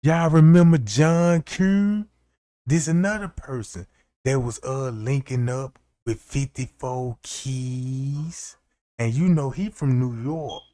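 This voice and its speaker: A man's voice: medium pitch, slow, warm tone. A man hosting a livestream, giving loose, slangy commentary and often asking "you know what I'm saying". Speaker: livestream host. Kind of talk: casual commentary on a case.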